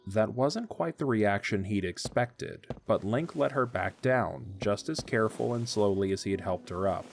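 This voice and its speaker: A man narrates a romantic adventure story in a calm, measured way. His voice sits a little low. Soft background music plays faintly throughout. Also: vocal fry. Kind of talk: scripted audio drama narration.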